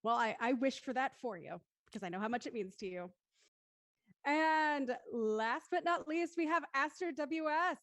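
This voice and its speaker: A woman hosts a casual panel in a fairly high voice. The sound is dry and close, and she speaks conversationally as a moderator. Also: lively intonation, normal speed, a bit bright, no echo. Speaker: panel host.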